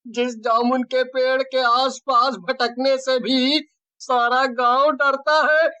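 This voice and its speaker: An earnest, cautious young village sidekick from a cartoon story, played by a man. His voice is very high and bright, and he exclaims as he speaks. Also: level tone, slow pace, clear, resonant tone, heavily acted. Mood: amazed.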